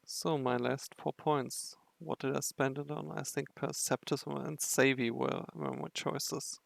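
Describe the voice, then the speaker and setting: A man with a creaky voice doing game commentary and reading story narration aloud. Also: medium pitch, fairly even intonation, a little slow, dry recording. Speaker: gamer narrating a playthrough. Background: faint music.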